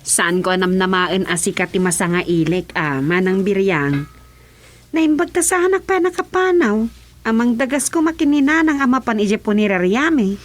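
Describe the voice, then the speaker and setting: A woman's voice: medium pitch, animated, normal speed, soft background music, natural voice. A woman with an Ilocano accent playing a concerned, outspoken younger village wife in a radio drama. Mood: teasing concern.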